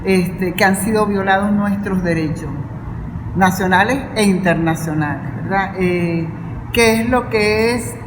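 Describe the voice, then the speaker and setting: A woman's voice: medium pitch, unhurried. Venezuelan lady academic talking formally at a panel, big echoey room, lots of noise. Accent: Venezuelan Spanish. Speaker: university professor and academic vice-rector. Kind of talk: panel speech. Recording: echoey hall with loud background noise.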